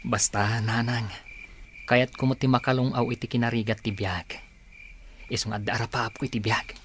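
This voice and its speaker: A man's voice: mid-range voice, steady tone, moderate pace, a little hiss or hum, lightly acted. Earnest young son in a radio soap played by a man. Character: dutiful, hopeful grown son with big dreams.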